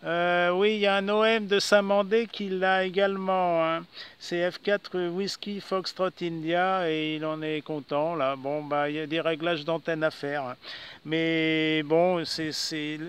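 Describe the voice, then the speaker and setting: A man's voice: on the high side, level tone, a little slow, a bit bright, clean tone. A man from France chatting casually as an amateur radio operator, often ending phrases with little conversational tags. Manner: chatty, frequent "hein" tags. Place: outdoors.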